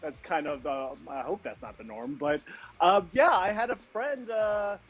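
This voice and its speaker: A man whose first language is Tagalog, a guest magician, telling a story on a video call in a sheepish, halting way with many fillers. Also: high-pitched, highs cut off, soft background music.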